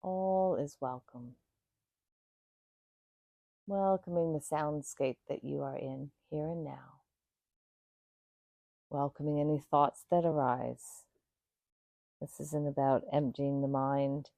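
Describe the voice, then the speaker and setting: Slow, low, mellow woman guiding meditation. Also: fairly even intonation, vocal fry, no echo. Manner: soothing, unhurried, pausing between short phrases. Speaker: yoga nidra meditation guide. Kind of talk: guided meditation.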